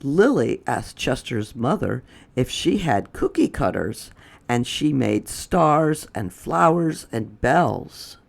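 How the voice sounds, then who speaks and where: A man's voice: mid-range voice, very animated, slow pace, vocal fry. A man reading a children's storybook aloud as a storytime host. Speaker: storytime reader.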